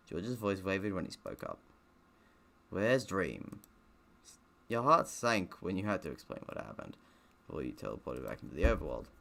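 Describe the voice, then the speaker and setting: A man's voice: low-pitched, gravelly, a bit bright. A man who streams games, reading a visual novel aloud, stumbling a little and dropping in offhand, self-deprecating comments. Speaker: gaming streamer.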